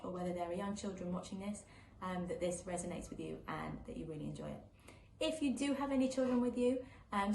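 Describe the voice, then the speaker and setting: A woman reading a children's picture book aloud live, with an expressive, sing-song storytelling delivery. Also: medium pitch. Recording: echoey room with faint background noise.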